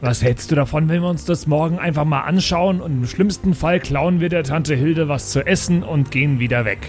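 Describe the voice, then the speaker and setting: Male role-play player, fairly high voice, loose improvised chat, music in the background. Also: a little fast. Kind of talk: casual improvised in-character chat.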